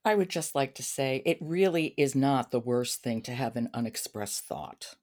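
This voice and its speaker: Very low-voiced woman hosting a podcast. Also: animated, moderate pace, darkish tone. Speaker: podcast host. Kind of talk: conversational book talk and interview.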